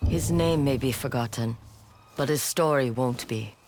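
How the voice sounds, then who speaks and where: A woman's voice: extremely low pitch, measured pace, darkish tone, slight background noise. A woman playing a determined, respectful warrior in a video game, speaking plainly and earnestly. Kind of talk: video game dialogue acting.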